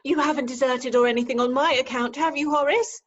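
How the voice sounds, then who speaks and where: Woman acting a high-voiced, haughty young Victorian lady. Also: fairly even intonation, a little fast. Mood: alarmed. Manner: haughty, theatrical. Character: self-possessed, indignant young lady arguing with her fiancé. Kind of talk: staged reading of Victorian farce. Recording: dull remote call audio.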